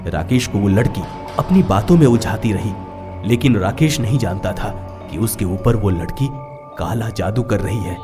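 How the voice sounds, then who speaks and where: Man, scripted horror narration, dramatic, very varied pitch, clear music behind.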